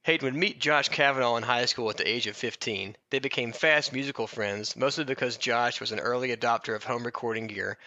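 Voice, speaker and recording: A man's voice: medium pitch, brisk, few pauses, bright, forceful tone, lo-fi sound. A man hosting a podcast, reading a comic biography aloud with casual, jokey asides and a readiness to laugh. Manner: jokey, often breaking into laughter mid-sentence. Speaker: podcast host.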